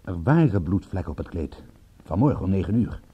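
An actor playing an insistent, brusque private detective in a radio drama, speaking in a terse, pressing way. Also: male voice, low voice, very animated, brisk, husky, muffled recording, exaggerated voice. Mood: grimly insistent.

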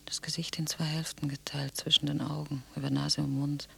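An actress playing an intense, possessively affectionate sister in a radio drama, effusive and repetitive, moving between husky whispers and cries. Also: female voice, sits low, flat delivery, fairly quick, heavily acted. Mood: hushed, brooding. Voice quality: breathy, husky, often whispering.

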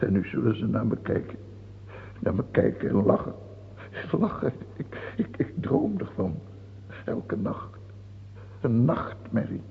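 A man acting in a radio play as an old, ailing king, fearful and self-pitying, with a halting, muttering, brooding delivery. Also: medium pitch, sing-song, creaky. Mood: anguished. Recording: muffled phone-like old radio recording, hiss and hum.

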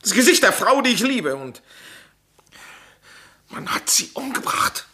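An agitated playwright suspected over his secret lover's death in a crime radio play. His voice is husky and forceful, swinging widely in pitch, with urgent, halting emotion. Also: big pitch swings, heavily acted. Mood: anguished. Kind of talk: German crime radio drama acting.

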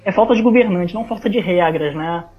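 A Brazilian man in casual podcast discussion, talking haltingly and repeating words as thoughts form. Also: high voice. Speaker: podcast panelist. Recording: dull, narrow online call audio.

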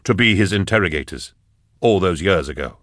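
Man acting a grim, deep, brooding inquisitor. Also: expressive, a little fast, fairly bright tone, no room sound, studio quality. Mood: brooding, questioning. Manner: low, terse, grave. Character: grim, brooding male inquisitor, haunted and weary. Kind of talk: audiobook character acting.

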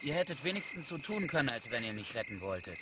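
A man's voice: mid-range voice, very animated, fast, background noise, close to an everyday voice. An actor playing a sarcastic, jittery young man with a slangy, muttering delivery in a radio crime drama. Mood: sardonic. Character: sarcastic young man, jittery, irritable, foul-mouthed, lost in darkness. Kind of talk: naturalistic German radio drama acting.

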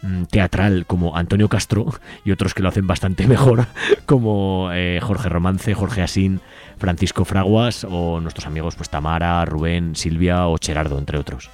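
Low male voice, informal, wry, radio events listings, faint music bed. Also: steady tone, normal speed, a little mellow. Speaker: radio show co-host.

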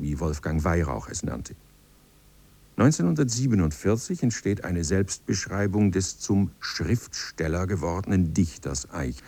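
A man narrating a scripted radio feature in a measured, precise, literary manner, close to a studio microphone. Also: low voice, warm tone, breathy edge.

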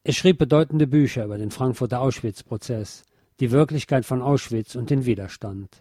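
A man's voice: mid-range voice, moderate pace, mellow, no echo, studio quality. A man reading a scripted historical text aloud as a narrator.

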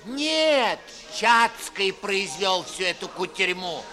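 A bright, high-pitched man's voice, glib and insinuating. He is a gossiping society busybody in a 1950s Russian radio comedy, declaiming his rumours over faint music. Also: big pitch swings, slow pace, exaggerated voice. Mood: insistent.

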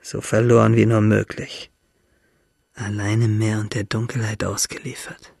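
A man playing a freezing, exhausted shipwrecked fisherman, alone and delirious at sea, in a halting, breathy radio drama monologue. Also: on the low side, little pitch movement, a little mellow, no echo, studio quality. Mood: exhausted, fearful. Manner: halting, rambling, breathy whispers breaking into pleading. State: cold, exhausted.

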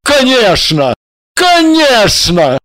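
A woman voicing an ardent, excitable male painter in love, speaking in an effusive, exclamatory way. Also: fairly high pitch, drawn-out words, no room sound, pitched above the performer's own voice, exaggerated voice. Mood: overjoyed. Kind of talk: audiobook character reading.

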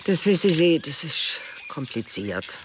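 An actress playing an evasive, startled woman employer in a German radio drama, speaking haltingly. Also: low-pitched, sing-song. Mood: evasive, flustered. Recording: noisy outdoor woodland scene, birds.

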